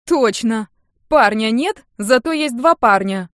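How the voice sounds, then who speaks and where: A woman's voice: fairly high pitch, lively intonation, takes it slowly, fairly bright tone, no echo, pitched above the performer's own voice. A woman narrator acts out a touchy young uncle in an audiobook. He is sarcastic and bossy, firing off mocking retorts in a raised, emphatic voice, recorded very dry. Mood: teasing.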